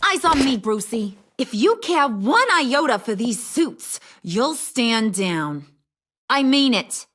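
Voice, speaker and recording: A brash female gangster villain for a game. She is high-voiced and lilting, taking her time over sassy, mocking threats with a playful sense of danger. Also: big pitch swings, slow pace, fairly bright tone, slight background noise, full character voice. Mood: taunting threat. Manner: taunting, sassy, sing-song. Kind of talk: video game voice acting.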